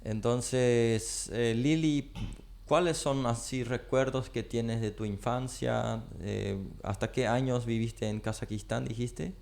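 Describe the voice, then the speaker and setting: A man's voice: medium pitch, fairly even intonation, slow pace, vocal fry, darkish tone. A man hosting a radio interview in a studio, asking short questions and filling in with frequent brief acknowledgements. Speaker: radio program host.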